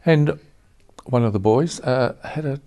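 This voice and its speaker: A man's voice: mid-range voice, unhurried, mellow. A man hosting a TV studio interview, asking friendly questions with hesitant ums. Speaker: TV show host.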